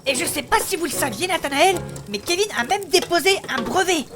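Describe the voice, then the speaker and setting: An actress playing an earnest, reasonable travelling companion in a comedic audio drama, explaining things plainly. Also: female voice, very high-pitched, animated, fairly quick. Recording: music behind the voice.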